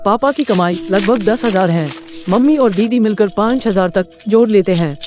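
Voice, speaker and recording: Guy doing stubborn teen schoolboy in a cartoon story, pretty high voice, bit of music behind. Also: normal speed, a little mellow, lightly acted. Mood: plain, reflective. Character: stubborn demanding teenage schoolboy son from a poor family. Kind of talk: animated moral story dialogue.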